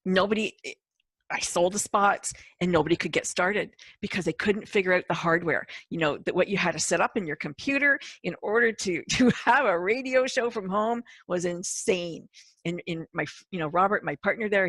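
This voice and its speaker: A woman's voice: on the low side, normal speed, fairly bright tone, no room sound. A woman who founded a radio network, talking about her shows in an enthusiastic, chatty way and laughing often. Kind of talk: casual conversation.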